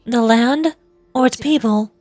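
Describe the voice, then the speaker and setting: A resolute, noble leader from a video game. Her voice is high, her delivery earnest, composed and stately, with faint music behind her. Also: unhurried, darkish tone. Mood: earnest, solemn.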